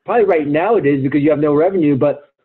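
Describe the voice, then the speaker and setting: A man speaking as an investment executive on a client call, explaining in a halting way with many fillers and repeated words. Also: a little high, slightly rough. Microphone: phone or conference call line. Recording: thin, phone-like conference call line.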